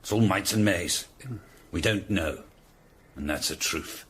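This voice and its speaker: A man's voice: low-pitched, measured pace, a bit bright, slight background noise. A man playing an authoritative, blunt and resolute Tudor nobleman in a radio drama.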